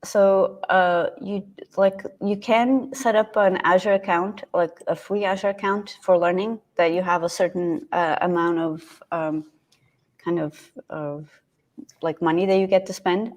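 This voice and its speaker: A woman in her thirties co-hosts a casual live stream from a room at home over a video call. Her voice sits low, and she talks in a chatty way, often restarting her sentences. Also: fairly even intonation, a little slow, darkish tone. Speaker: co-host.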